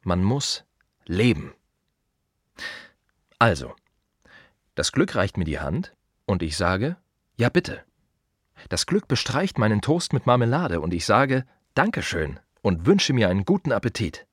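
An actor playing a blunt, brooding gravedigger in a radio drama monologue, speaking colloquially in short, repeated, emphatic phrases. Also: male voice, lowish voice, very animated, a little slow, pauses often, breathy edge, dry recording, clean studio sound, exaggerated voice. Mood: defiant, life-affirming. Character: blunt, brooding male gravedigger musing on life and death.

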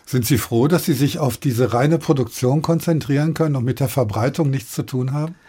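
A man's voice: medium pitch, a little fast, mellow, slightly rough. A man hosting a radio interview, putting prepared questions in a composed, articulate way.

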